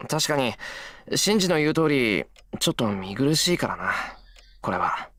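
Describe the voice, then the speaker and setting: A man's voice: medium pitch, sing-song, quick pace, a bit bright, no echo, clean studio sound. A man voicing an easygoing, sincere teenage schoolboy in a visual novel, talking in a casual, plain-spoken way. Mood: reassuring. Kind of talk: Japanese visual novel voice acting. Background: background music.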